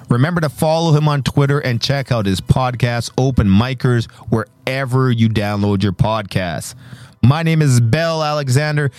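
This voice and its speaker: A man's voice: mid-range voice, no echo. A man speaking as a guest podcast host, giving a chatty, promotional sign-off. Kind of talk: podcast outro with plugs.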